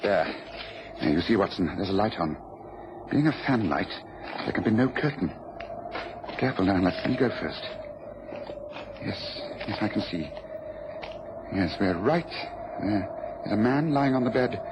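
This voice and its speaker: An actor playing a shrewd, commanding British detective in a mid-century radio drama, speaking precisely and briskly. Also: male voice, on the low side, animated. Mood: hushed, intent. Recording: muffled, noisy old radio recording.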